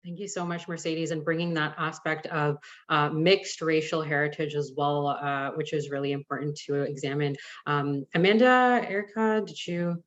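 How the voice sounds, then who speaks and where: A woman's voice: sits low, fairly even intonation, moderate pace, dull audio. A woman moderating a webinar panel over a video call, thanking speakers and passing the floor encouragingly. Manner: warm, encouraging.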